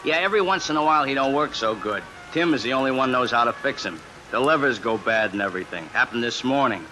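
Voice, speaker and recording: A man's voice: medium pitch, expressive, fast talker, natural voice, low-quality recording. A man playing a talkative, jokey fun house ticket seller with folksy, casual delivery in old radio suspense style. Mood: breezy. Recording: vintage radio, faint music and rain. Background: faint music and rain.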